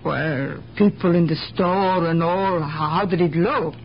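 Weary wary immigrant neighbor lady, halting english, dull hissy old radio play. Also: on the low side. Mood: hesitant. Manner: hesitant, shrugging, broken English. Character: guarded, world-weary immigrant neighborhood woman. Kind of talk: 1970s radio drama acting.

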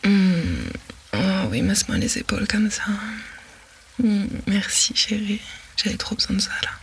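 A woman whispering a sensual roleplay to the listener in a breathy, commanding way. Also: medium pitch, sing-song, measured pace, a bit bright. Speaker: narrator of an intimate audio roleplay.

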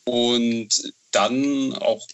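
A man's voice: medium pitch, steady tone, drawn-out words, clear, resonant tone, highs cut off. A man in his thirties chatting casually as an interview guest, often tagging his phrases with a questioning filler. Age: 30s. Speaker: horror novel author, interview guest.

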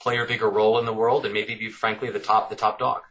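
A man's voice: fairly low pitch, flat delivery, quick pace, a bit bright, poor audio. A middle-aged man answering interview questions thoughtfully, often repeating words as he works out his points. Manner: thoughtful, repeats words while working out ideas. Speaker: author guest.

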